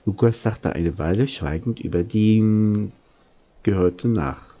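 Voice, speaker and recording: A man narrating a storybook aloud in a halting way, stumbling over words and correcting himself. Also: on the low side, warm tone. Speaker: audiobook reader.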